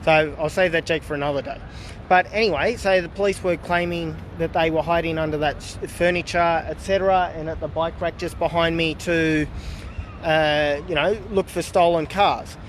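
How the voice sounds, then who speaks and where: A man with a slightly high voice rambles through a casual outdoor livestream, full of uhs and side remarks. He says he is losing his voice. Faint street traffic hums behind his phone recording. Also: fairly even intonation, normal speed. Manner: rambling, many fillers and asides. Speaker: political vlogger. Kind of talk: casual livestream talk to viewers. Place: outdoors in a public town area.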